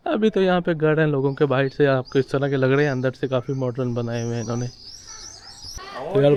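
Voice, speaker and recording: A young man talks to his camera as he strolls outdoors, chatty and easygoing. His voice sits at an ordinary middle pitch, with a relaxed, conversational flow as he points things out along the way. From Pakistan. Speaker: travel vlogger. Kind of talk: casual walking tour commentary. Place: outdoors in narrow old-town streets.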